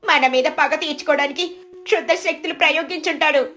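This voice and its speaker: A woman plays a very high-voiced, excitable village wife from a cartoon moral tale. She exclaims in agitation and sounds full of suspicion, with music behind her. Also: brisk. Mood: spiteful, suspicious. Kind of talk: animated moral story acting.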